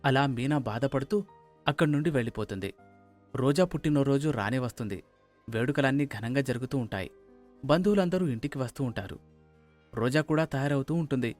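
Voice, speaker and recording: A man's voice: medium pitch, brisk, long pauses, darkish tone, soft background music. A man giving scripted narration for a moral story.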